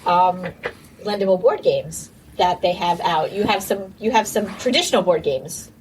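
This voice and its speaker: A woman's voice: fairly low pitch, moderate pace, far from the mic, a little hiss or hum, rough recording. A woman in her late thirties hosting a podcast, chatting casually, often laughing and tripping over her words. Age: 30s. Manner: giggly, stumbles over words. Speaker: podcast host. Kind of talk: casual chat. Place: library recording room.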